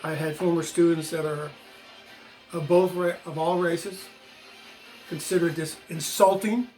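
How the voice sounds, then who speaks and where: American man, sixties, slightly high voice, emphatic, opinionated video host, faint music in the background. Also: steady tone, measured pace, a little mellow, poor audio. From United States. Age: 60s. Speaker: video channel host, former teacher. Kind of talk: opinionated explanatory monologue to viewers.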